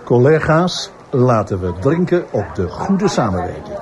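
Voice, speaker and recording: An actor playing an authoritative police inspector with dry irony in a radio drama. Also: male voice, mid-range voice, very animated, a little slow, crowd noise. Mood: genial. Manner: authoritative, dryly ironic. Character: commanding, wry male police inspector.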